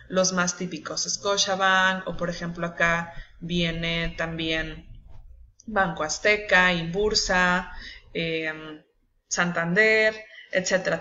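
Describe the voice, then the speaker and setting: A young woman with a Mexican Spanish accent guides viewers through an online tutorial at a patient, steady pace. Her voice has a bright, clear edge. The sound is slightly dull and lo-fi, like a built-in laptop mic. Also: lowish voice. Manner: patient, step-by-step explaining with frequent checks like "¿sí?" and "¿ok?". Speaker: nutritionist walking team members through a website. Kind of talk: screen-recorded tutorial.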